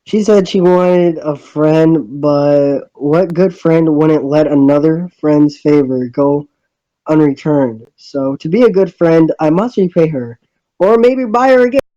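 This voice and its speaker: A man's voice: fairly high pitch, measured pace, warm tone, no echo, close to an everyday voice. Man acting a bewildered young student, slightly muffled. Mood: earnest, musing. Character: bewildered young male student narrating his own story. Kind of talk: visual novel dub, narration mixed with dialogue.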